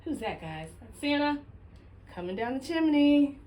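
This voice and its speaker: A woman with a fairly high voice reads a storybook aloud as a childcare teacher would. Her delivery is expressive and very animated in pitch, aimed straight at small children. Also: unhurried, slight background noise. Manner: expressive, addresses young listeners directly.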